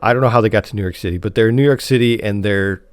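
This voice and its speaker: A man's voice: fairly low pitch, very fast. An American man from Chicago co-hosting a podcast in casual conversation, informal and a little hesitant as he circles back mid-thought. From Chicago, United States. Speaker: podcast co-host. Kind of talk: casual chat about TV news.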